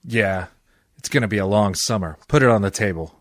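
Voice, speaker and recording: A man acting a cynical, wisecracking private eye in an old-time radio detective drama, with a dry deadpan delivery. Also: fairly low pitch, fairly quick, no room sound, close to an everyday voice. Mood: curt, sardonic. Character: hard-boiled, wisecracking male private eye and odd jobber.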